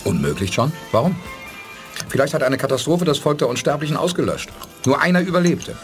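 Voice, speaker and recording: A calm, decisive starship commander. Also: male voice, on the high side, soft background music. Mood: steady. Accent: German. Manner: reasoning, measured, emphatic. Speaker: lead character. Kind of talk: 1980s German radio play acting.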